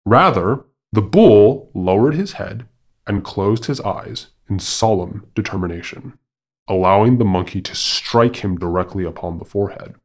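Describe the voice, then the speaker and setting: A man narrating a scripted animal fable as a podcast storyteller, in a formal, measured and dramatic manner. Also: on the low side, animated.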